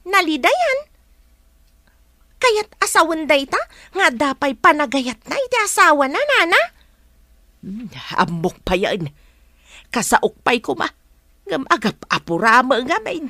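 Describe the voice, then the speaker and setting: Give the part to a suspicious, gossipy woman of Ilocano radio drama. Her voice is high, bright and very animated, with an Ilocano accent. She frets in exclamations and long sighs, recorded close and dry. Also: a little slow, pauses often, no echo, exaggerated voice. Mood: agitated, prying, then suspicious, musing.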